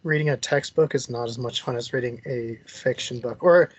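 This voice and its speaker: A man's voice: mid-range voice, moderate pace, muffled recording. A man hosting a casual conversational interview in an encouraging, agreeable manner. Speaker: interview host.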